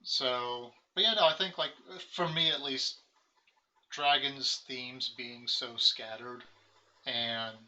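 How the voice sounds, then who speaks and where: A man's voice: mid-range voice, dull audio, a little hiss or hum. An American man co-hosting a live card game stream, chatting casually and rambling with lots of fillers. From United States. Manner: relaxed, rambling, many fillers. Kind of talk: casual post-game chat.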